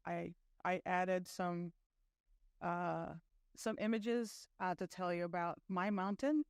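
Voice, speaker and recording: A woman's voice: fairly low pitch, slow, smooth, dry recording, studio quality. A middle-aged woman from Brazil giving a chatty conference talk, full of fillers and self-deprecating asides. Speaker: conference speaker.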